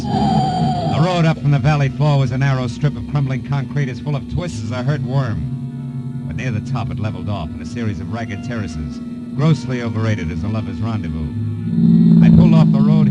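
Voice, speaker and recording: An actor playing a wry, cool American private detective, clipped and deadpan, in a 1950s noir radio drama. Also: male voice, mid-range voice, muffled recording, lightly acted. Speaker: lead detective and narrator.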